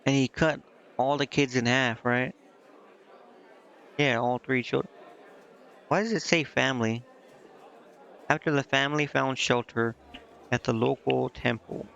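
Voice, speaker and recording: A man's voice: mid-range voice, unhurried, gravelly, pauses often, a bit bright. A man doing casual live game commentary, informally thinking aloud and reading dialogue out as he goes. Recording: dull, band-limited sound with music. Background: game music.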